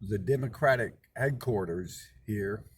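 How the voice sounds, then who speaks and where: A man's voice: on the low side, a little slow, husky. A man hosting his own channel, talking in a loose rambling monologue, swearing often, restarting and repeating words, indignant and suspicious. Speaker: channel host.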